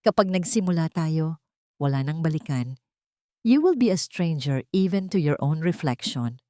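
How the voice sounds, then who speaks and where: A woman's voice: low-pitched, expressive, normal speed, warm tone, no room sound, clean studio sound. A woman voicing a solemn, haunted male surgeon who speaks with commanding intensity in an audio drama. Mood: grave warning. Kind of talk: melodramatic audio drama dialogue.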